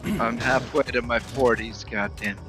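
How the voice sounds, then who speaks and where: A man's voice: medium pitch, fairly even intonation, measured pace, music in the background. A man in his thirties chatting on a friend's game stream, talkative and hesitant with fillers. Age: 30s. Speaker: co-host friend. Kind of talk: casual gaming stream chat.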